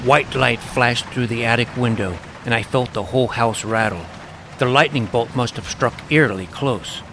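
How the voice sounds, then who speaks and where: A man's voice: medium pitch, moderate pace. A man giving scripted first-person storytelling narration as the narrator of a tale. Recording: clear background noise, sound effects.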